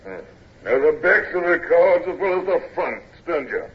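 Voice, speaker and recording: A man's voice: fairly high pitch, expressive, close to an everyday voice. An actor playing a drunken, blustering young naval bully in an old radio drama, sneering and drawing out his words. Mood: sneering suspicion. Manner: sneering, drawn-out, swooping. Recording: muffled old radio recording.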